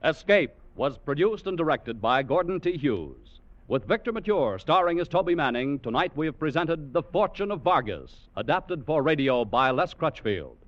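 A man announcing in formal, dramatic 1940s radio style, reading scripted credits and sign-off. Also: fairly high pitch. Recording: dull vintage radio broadcast, music behind.

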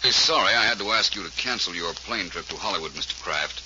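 A shrewd radio detective with a low, deep voice. He is measured, urbane and dryly courteous, coolly probing, on a muffled, hissy 1940s recording. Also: animated.

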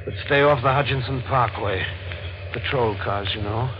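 An actor playing a polite, controlled, menacing killer with a gun in a 1940s radio mystery. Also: male voice, mid-range voice, normal speed, lightly acted. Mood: cold, commanding. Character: coolly menacing male killer holding a gun. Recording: dull, noisy old radio recording.

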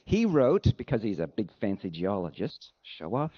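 A man preaching a sermon as a pastor, with a deliberate, emphatic delivery and dry humor. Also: on the low side, sing-song, muffled recording.

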